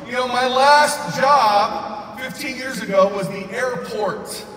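A man in his thirties giving a loud, excited motivational speech on a big convention stage, often shouting to the crowd. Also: very high-pitched, slow pace, a little hiss or hum. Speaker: convention speaker. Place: large convention arena stage. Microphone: far recording from the audience. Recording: echoey arena recorded from far off.

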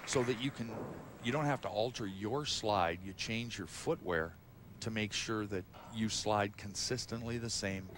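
Low male expert analyst over crowd noise. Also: measured pace. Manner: explanatory, technical. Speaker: expert colour commentator. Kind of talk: live analytical bowling commentary. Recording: live crowd noise behind. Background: audience and hall noise.

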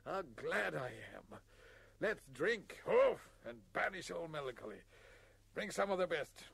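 A man's voice: on the high side, a little slow, gravelly, fairly bright tone, no room sound, exaggerated voice. A man playing an ageing giant nobleman in a storybook reading, theatrical and declamatory, given to melodramatic exclamation. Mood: boisterous joy. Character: ageing giant nobleman, bellowing melodramatically between grief and glee.

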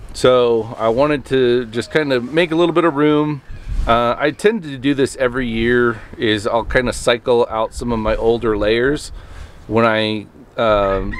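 Man in his thirties, farm vlogger, outdoors, camera at arm's length, rambling, faint background noise. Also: mid-range voice. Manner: rambling, with many ums and restarts. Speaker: homestead vlogger. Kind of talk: casual talk to camera. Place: outdoors on a farmstead, by the coops. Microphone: handheld camera at arm's length.

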